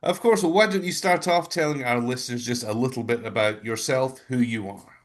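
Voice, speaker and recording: A man's voice: mid-range voice, fairly quick. A man hosting a podcast who interviews his guest in an upbeat, encouraging way. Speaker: podcast host. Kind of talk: casual interview.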